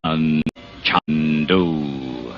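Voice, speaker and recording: A man's voice: extremely low pitch, extremely slow. Dramatic vintage radio announcer man, music behind. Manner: dramatic, emphatic. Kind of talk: 1940s radio announcing, scripted. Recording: muffled old radio recording, music behind.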